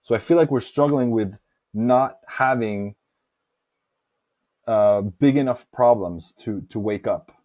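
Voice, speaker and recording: A man's voice: mid-range voice, slow pace, pauses often, darkish tone. A man who grew up in Norway, talking reflectively and giving his opinions as host of a casual interview.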